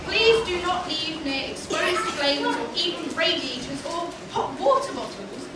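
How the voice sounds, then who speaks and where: Woman playing a high-pitched cheeky pantomime son. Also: animated, measured pace. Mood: dry, cheeky. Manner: exclamatory, projected. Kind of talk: broad pantomime stage acting. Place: on stage in a small theatre. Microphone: far camera from the audience. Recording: noisy, echoey theatre hall from far off.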